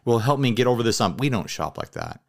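A man with a medium-pitched voice talks as a co-host in a loose, conversational podcast style. He tells things in an animated manner, acting out imagined quotes as he goes. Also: fast, vocal fry. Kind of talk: casual chat about hobby gear.

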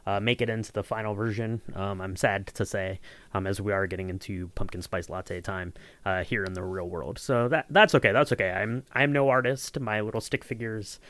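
A man's voice: lowish voice, steady tone, fairly quick, fairly bright tone, dry recording. A man hosting a podcast, explaining things in a casual, conversational way. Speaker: podcast host. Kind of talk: casual behind-the-scenes podcast chat.

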